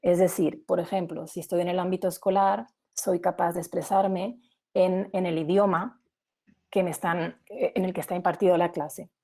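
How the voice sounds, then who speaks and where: A woman's voice: fairly low pitch, flat delivery, moderate pace, creaky, dark tone. A woman giving an explanatory webinar presentation in a didactic way, explaining with examples.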